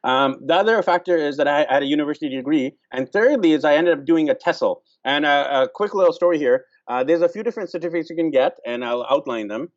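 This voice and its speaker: A man from Vancouver, explaining things as a host in a casual, halting way full of fillers. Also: on the high side, lo-fi sound. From Vancouver, Canada. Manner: many fillers, pauses to restart sentences.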